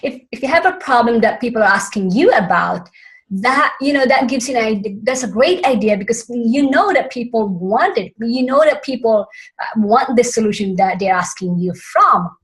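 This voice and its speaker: Filipina host, non-native English, high-ish voice, halting and effusive with restarts. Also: female voice, lively intonation, low-quality recording. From Philippines. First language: not English. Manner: searching for words, frequent restarts. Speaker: interview host. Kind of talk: online summit interview.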